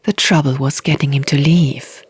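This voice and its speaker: A woman narrating fiction as a scripted, dramatic audiobook, hushed and often near a whisper. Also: low voice, steady tone, moderate pace. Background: soft music.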